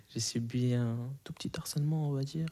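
Young man in his twenties, native Arabic speaker, hesitant interviewee. Mellow tone, average pitch. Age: 20s. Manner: hesitant, many fillers and restarts. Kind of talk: casual interview answers.

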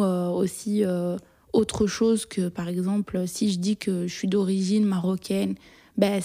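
A young woman from Belgium, answering interview questions shyly, with many pauses, fillers and restarts. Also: mid-range voice, mellow. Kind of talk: hesitant personal interview answers.

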